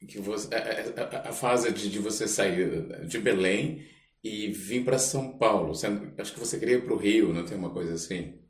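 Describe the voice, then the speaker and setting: A Brazilian man with a slightly low voice chats as an interview host. His questions come out a bit hesitantly, between brief interjections, and the room rings with echo. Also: moderate pace. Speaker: podcast host. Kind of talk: casual interview chat. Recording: echoey room.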